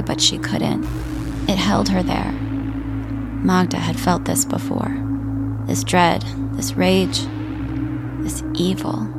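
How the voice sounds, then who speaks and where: A woman narrator reading a scripted drama in a measured, suspenseful way, in short phrases. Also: on the low side, vocal fry. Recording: loud music under the voice.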